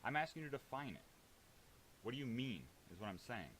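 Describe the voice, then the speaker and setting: A man's voice: medium pitch, animated, a little fast, fairly bright tone. Male, combative and defensive, profane informal argument, faint hiss on the recording. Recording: hissy background noise.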